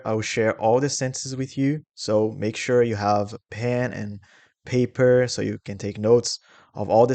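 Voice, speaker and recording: A young man with a Brazilian Portuguese accent teaching English travel phrases slowly and clearly, repeating each model sentence. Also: medium pitch, a little mellow. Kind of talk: English lesson.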